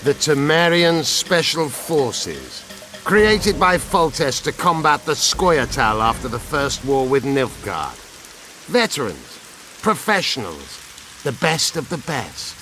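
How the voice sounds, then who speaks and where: An actor playing a proud, menacing elven guerrilla commander in a video game, haughty and deliberate. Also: male voice, a little high, sing-song, sharp tone, exaggerated voice. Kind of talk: video game dramatic acting. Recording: clear rain and hiss behind.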